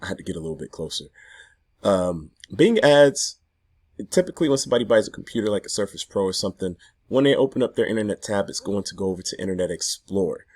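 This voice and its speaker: Hoarse man, 30s, very low voice, loose chatty vlogger talking to camera in a garage. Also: lively intonation, fast talker, darkish tone. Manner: chatty, loose, self-interrupting. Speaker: affiliate marketing vlogger. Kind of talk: casual talk to camera. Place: home garage.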